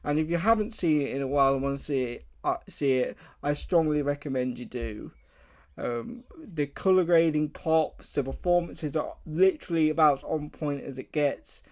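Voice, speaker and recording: A man's voice: on the high side, moderate pace, darkish tone. A man hosting a radio show, casually reviewing a film and introducing his guest in a chatty, enthusiastic way.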